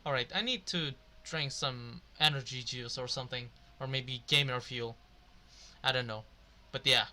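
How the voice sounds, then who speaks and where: A man's voice: mid-range voice, moderate pace, sharp tone, slight background noise. A man doing casual, rambling gameplay commentary, swearing now and then. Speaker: video game streamer.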